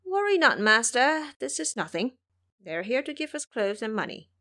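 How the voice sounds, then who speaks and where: A woman's voice: on the high side, very animated, moderate pace, sharp tone, full character voice. A woman voicing a cocky, fearless male monkey disciple who boasts and taunts in an audio drama. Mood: cocky, reassuring.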